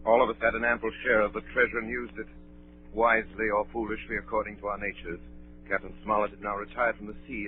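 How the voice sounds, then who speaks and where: An actor playing a grown man gravely recalling his boyhood adventure in measured 1930s radio narration. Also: on the low side, lightly acted. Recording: tinny 1938 radio recording, faint music.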